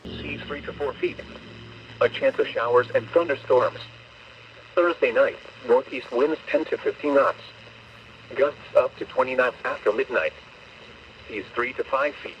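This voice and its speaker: A male automated voice reading a marine weather forecast in a flat, clipped way. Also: medium pitch, a little slow, long pauses, recorded from a distance, slight background noise. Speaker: weather broadcast voice. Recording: radio speaker heard from off-mic, dull and lo-fi.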